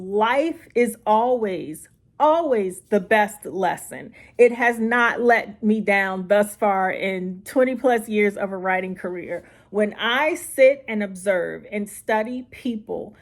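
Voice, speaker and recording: A middle-aged woman with a mid-pitched voice speaks as a writing coach. Her delivery is informal and conversational, as if addressing each viewer directly. She is encouraging and puts emphasis on the points she wants remembered. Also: takes it slowly. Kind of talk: informal instructional talk to viewers.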